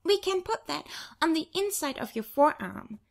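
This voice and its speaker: Woman voicing an eager little girl, high-pitched. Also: sing-song, normal speed, creaky, heavily acted. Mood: coaxing. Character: excited little girl, playful and eager younger sister. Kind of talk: audiobook character voice.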